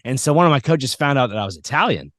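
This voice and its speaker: A man's voice: mid-range voice, very animated, quick pace, fairly bright tone, dry recording, clean studio sound. A man hosting a podcast in a casual, informal, anecdotal chat style. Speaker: podcast host.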